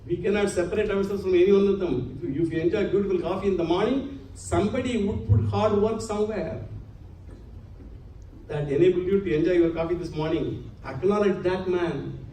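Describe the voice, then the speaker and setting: A man's voice: sits high, fairly quick, dark tone. A man giving an earnest religious lecture to a congregation, using many Sanskrit terms. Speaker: spiritual lecturer. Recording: echoey hall, distant mic, faint hum.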